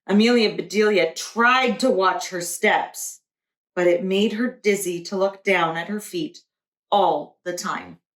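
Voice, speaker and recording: A woman teacher reading a picture book aloud to young children with deliberate expression. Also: medium pitch. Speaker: preschool teacher. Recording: roomy echo.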